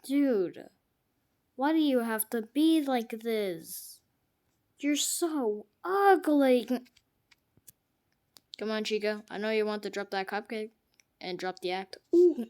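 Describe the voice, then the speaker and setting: A woman giving jokey gameplay commentary, trash-talking the game characters and swinging from hushed mutters to sudden loud outbursts. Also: fairly high pitch, expressive, slow, long pauses. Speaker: gamer.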